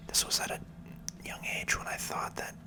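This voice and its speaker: A person whispering gentle, unhurried, conversational ASMR commentary while playing a video game, close to the microphone. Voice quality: soft breathy whisper with creaky edges.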